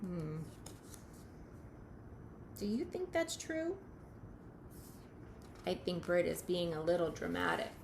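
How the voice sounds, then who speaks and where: A woman teacher reading a picture book aloud to young children, expressive and questioning. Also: on the low side, gravelly, background noise. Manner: expressive, pauses to question the listener.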